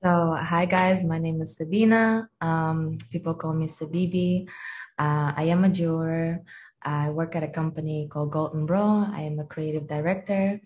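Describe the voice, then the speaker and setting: A young woman from Kazakhstan, a guest on a casual podcast, talking about her life and work in a relaxed way with many fillers. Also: low voice, normal speed.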